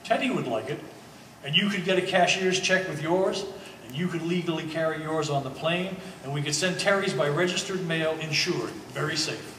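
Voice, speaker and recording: A man playing a reasonable, easygoing family mediator in a stage play, speaking in a measured, persuasive, conversational way. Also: a little high, a bit bright, natural voice. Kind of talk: live stage play acting. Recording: echoey hall, distant mic, faint noise.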